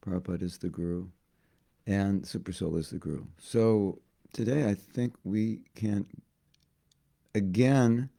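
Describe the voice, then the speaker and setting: A hoarse man giving a reflective devotional class, often restarting and repeating words as he thinks. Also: on the low side, unhurried, pauses often, dark tone. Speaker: spiritual teacher.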